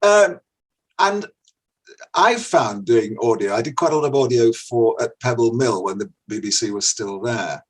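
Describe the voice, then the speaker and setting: A man's voice: mid-range voice, expressive, normal speed, breathy edge. An older man, an actor giving a casual, anecdotal interview.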